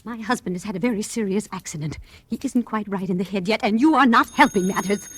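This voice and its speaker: An actress playing a devoted, quick-witted woman in a 1940s radio drama, earnest and insistent. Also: medium pitch, a little hiss or hum, natural voice. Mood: firm, insistent. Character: devoted, quick-witted woman protecting the man she loves.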